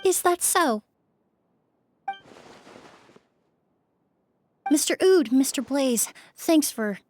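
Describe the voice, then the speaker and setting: An actress voicing a composed, courteous young female investigator in a video game, speaking politely and precisely with a measured, clinical manner. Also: high voice, lively intonation, slight background noise. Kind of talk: English video game voice acting. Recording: game music behind.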